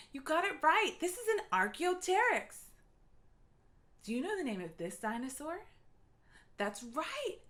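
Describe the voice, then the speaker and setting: High, animated woman reading slowly to children. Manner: playful, encouraging, simple short phrases. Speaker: children's read-aloud narrator. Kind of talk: read-aloud for young children, quiz-like prompts.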